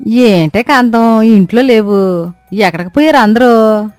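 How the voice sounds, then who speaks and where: A woman voicing an astonished, nosy village neighbour in a cartoon story, with drawn-out exclamations and questions. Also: on the high side, a little mellow, no room sound, faint music behind, natural voice. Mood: calling, puzzled. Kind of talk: Telugu comic cartoon story acting.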